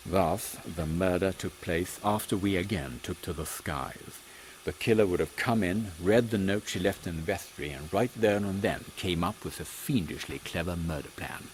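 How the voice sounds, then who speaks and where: Man playing a deep-voiced, proper British captain. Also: close to an everyday voice, low-quality recording. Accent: British English. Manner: measured, formal, authoritative. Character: composed, proper English captain of Victorian secret agents. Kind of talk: comic audio drama acting. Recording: faint background noise.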